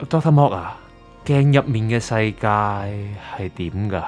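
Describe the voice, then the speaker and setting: A man's voice: fairly low pitch, takes it slowly, darkish tone. An actor with a Hong Kong Cantonese accent playing an anxious, curious male writer who narrates his story introspectively in a radio drama. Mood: wary questioning. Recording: music under the voice.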